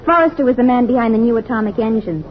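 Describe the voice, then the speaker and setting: A muffled old radio drama recording of a woman acting an eager young American woman. Her voice is high, and she sounds earnest, articulate and insistent. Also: fast, close to an everyday voice. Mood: eager, admiring. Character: eager, assertive young educated woman, openly admiring.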